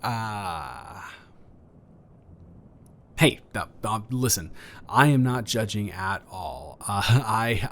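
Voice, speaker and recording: A man playing an awkward, friendly neighbor in an improvised roleplay audio, stammering and rambling hesitantly. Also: on the low side. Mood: sympathetic, nervous.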